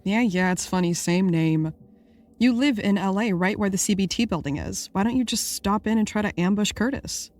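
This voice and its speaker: A woman playing a dry, skeptical podcast producer and sidekick, deadpan and blunt, in a naturalistic audio drama. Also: medium pitch, normal speed, natural voice. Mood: wry, practical.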